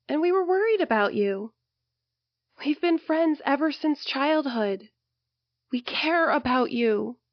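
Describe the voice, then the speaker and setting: A woman playing a caring, earnest young friend in an amateur audio drama, gently pleading and soothing. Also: high-pitched, dry recording. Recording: dull, dry lo-fi home recording.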